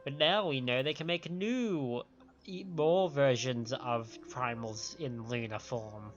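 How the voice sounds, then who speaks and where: A man streams a game over clear background music, his sound a little dull and lo-fi. He reads the dialogue aloud, tripping over words as he goes, and adds his own reactions. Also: mid-range voice, slow. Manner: stumbles over words when reading aloud.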